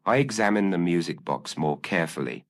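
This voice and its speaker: Man acting a formal, deliberate doctor, deep. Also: animated. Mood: explaining. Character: measured, reasoning male doctor.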